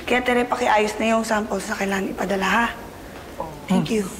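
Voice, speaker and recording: An actress playing a practical, busy manager with a Filipino accent. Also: female voice, medium pitch, steady tone, a little hiss or hum, natural voice. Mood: brisk. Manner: brisk, practical. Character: busy, no-nonsense woman manager.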